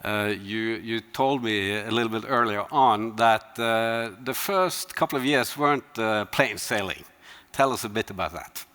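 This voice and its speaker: A man's voice: fairly low pitch, unhurried, pushed and bright, clean tone. A man on a conference stage moderating a panel, asking questions with frequent fillers.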